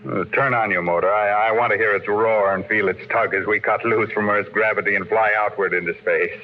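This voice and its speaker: An actor playing a ruthless, domineering, power-hungry tycoon in a 1950s radio drama. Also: male voice, lowish voice, fairly even intonation, a little fast, close to an everyday voice. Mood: impatient, scornful. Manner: forceful, domineering, bombastic. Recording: muffled, noisy 1950s radio recording.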